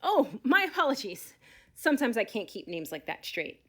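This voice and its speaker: An actress playing a brisk, skeptical but helpful animal expert, speaking matter-of-factly in an audio drama. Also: female voice, on the high side, big pitch swings, bright, forceful tone, exaggerated voice. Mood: apologetic. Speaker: outside expert being interviewed.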